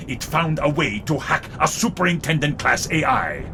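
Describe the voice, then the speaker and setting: A man's voice: on the high side, sing-song, normal speed, noisy background. An actor playing a cold, smug and menacing corrupt police commissioner in a sci-fi audio drama, mock-courteous in his cruelty. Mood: impatient, commanding. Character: cold, sadistic corrupt male police commissioner, older villain.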